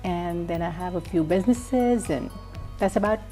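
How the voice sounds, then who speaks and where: A soft-spoken woman in her thirties from Pakistan, telling her story as a talk show guest. Her voice sits a little low, and she often pauses with ums. Faint music plays underneath. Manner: soft-spoken, warm, frequent ums and mm-hmms. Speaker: guest, entrepreneur. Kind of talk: casual interview.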